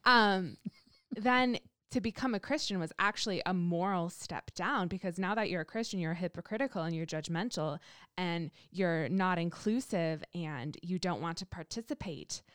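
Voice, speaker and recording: A woman's voice: fairly low pitch, moderate pace, a bit bright, clean tone, no room sound, studio quality. A woman pastor who grew up in Canada, speaking casually in a panel discussion with light self-deprecating humor.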